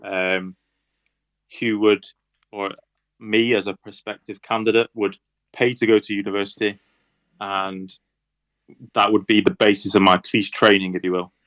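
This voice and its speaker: A Lancashire man in his thirties with a lowish voice, talking in a halting, careful way full of ums and dry asides. The sound is dead dry, with a dull, lo-fi edge. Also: little pitch movement, fairly bright tone, dry recording. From Lancashire, England. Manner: hesitant and measured, with frequent fillers and dry humour. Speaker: guest, police officer. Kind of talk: conversational podcast interview.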